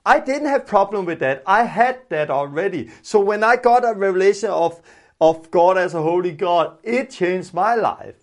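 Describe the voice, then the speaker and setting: A man's voice: high-pitched, expressive, normal speed. A man from Denmark with a Danish accent, a native Danish speaker, preaching in simple explanatory English and repeating phrases for emphasis. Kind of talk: informal teaching sermon with prayer.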